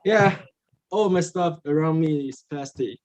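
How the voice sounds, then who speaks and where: Young Taiwanese student delegate, halting and unsure, slow, on a video call. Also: male voice, a little high, darkish tone. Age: young adult. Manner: hesitant, halting non-native English.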